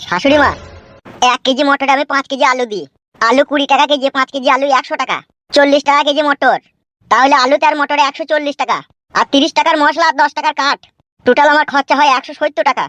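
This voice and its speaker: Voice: high-pitched, fast, fairly bright tone, no echo. A voice actor playing a naive, stubborn and boastful teenage boy suitor in a comic cartoon. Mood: earnest, boastful. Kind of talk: comic cartoon dialogue in rural speech.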